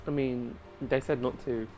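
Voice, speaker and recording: A man's voice: medium pitch, steady tone, slow pace, vocal fry, warm tone, faint music behind. A man casually commenting on a game as he plays, often laughing in disbelief. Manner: laughing, incredulous. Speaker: let's play host.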